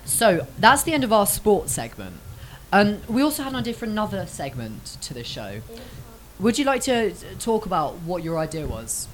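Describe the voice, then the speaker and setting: British host with lively, swooping intonation. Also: female voice, mid-range voice, normal speed, slight background noise, rough recording. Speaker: host of a youth show. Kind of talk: casual hosting with young people.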